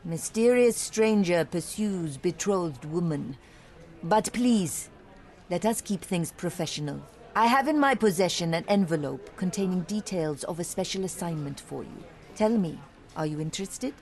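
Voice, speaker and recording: A woman's voice: on the low side, expressive, moderate pace, a little mellow. An actress voicing a playful, sly young woman journalist in a detective game, teasing and theatrical.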